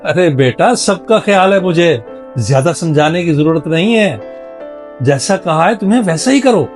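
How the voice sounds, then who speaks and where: A man's voice: sits high, expressive, soft background music. A man playing a strict, principled middle-aged father in a moral-story drama, speaking in an emphatic, lecturing way. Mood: firm, instructing. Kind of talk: Urdu moral-story audio drama acting.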